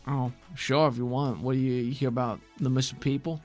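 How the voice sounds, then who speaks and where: Man playing gruff older bug woman, stocky dump boss, evasive, clipped casual talk, tabletop game, music behind. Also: medium pitch, level tone, brisk, darkish tone, close to an everyday voice. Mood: guarded, dismissive. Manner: casual, clipped, shrugging, chewing on a toothpick.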